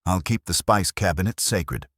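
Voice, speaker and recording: A man's voice: deep voice, normal speed, fairly bright tone, no room sound, clean studio sound, pitched above the performer's own voice. A man voicing a breezy, wisecracking detective's assistant with slangy, wry talk, in an audiobook. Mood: flippant.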